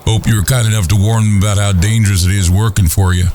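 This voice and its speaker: A man's voice: lowish voice, fairly even intonation, fast, a little mellow, soft background music, lightly acted. A man playing a menacing, sneering cowboy henchman with a threatening Old West drawl in a Western audio drama. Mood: smug menace. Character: menacing male hired cowboy thug, sneering and foul-mouthed.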